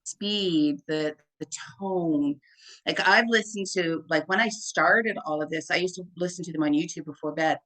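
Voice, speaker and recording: A chatty woman with a deep, full voice, sitting somewhat low in her register. She speaks as a hypnotherapist guest in a relaxed interview and keeps dropping in "like" and "right?" as she goes. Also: normal speed, poor audio. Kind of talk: casual conversation.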